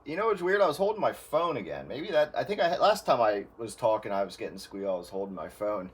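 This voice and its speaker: Animated man chatting over radio. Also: on the low side, quick pace, husky. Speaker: radio operator with studio audio background. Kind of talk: casual chat over radio. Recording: faint noise on radio link.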